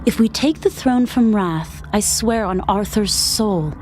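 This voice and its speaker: A woman's voice: mid-range voice, a little slow, darkish tone, soft background music, natural voice. A woman playing a noble, resolute widowed queen in a video game, speaking with regal passion. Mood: impassioned. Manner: impassioned, regal. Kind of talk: video game character acting.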